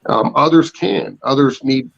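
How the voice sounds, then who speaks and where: A man's voice: mid-range voice, lively intonation, unhurried, gravelly. A man chatting as a panelist on a talk show in a plain-spoken, folksy way. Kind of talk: casual chat. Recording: dull lo-fi online audio.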